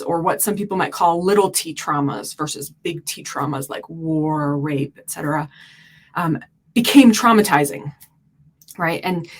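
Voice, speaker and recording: A woman from Turkey, a podcast guest, explaining at length in an earnest way with many fillers. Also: lowish voice. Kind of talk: explanatory interview talk.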